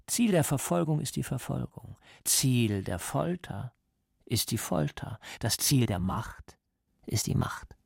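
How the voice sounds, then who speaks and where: An actor playing a calm, cold party interrogator in a radio drama, speaking in a measured, lecturing way. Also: male voice, medium pitch, gravelly, slightly rough, no echo, studio quality, heavily acted. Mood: cold, emphatic. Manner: measured, didactic.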